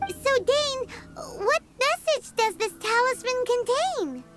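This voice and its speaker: A woman's voice: extremely high pitch, takes it slowly, exaggerated voice. A woman voicing a small, chatty fairy companion in a video game who refers to herself in the third person. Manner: talks about herself in third person, excitable. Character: tiny floating fairy girl companion, chatty. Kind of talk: game voice acting. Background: game music.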